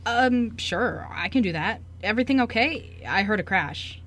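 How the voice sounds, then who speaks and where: A woman's voice: on the high side, animated, moderate pace, fairly bright tone. A woman playing a polite, helpful male newspaper reporter in an amateur radio play, with a hesitant, caring way of talking. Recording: background room noise.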